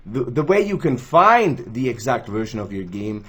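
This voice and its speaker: Lively young Swiss man explaining. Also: mid-range voice, moderate pace, slightly rough. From Switzerland. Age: young adult. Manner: chatty, self-joking, gestures while explaining. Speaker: gaming tools channel host. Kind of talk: screen-recorded tutorial. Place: indoors, small room, gaming chair.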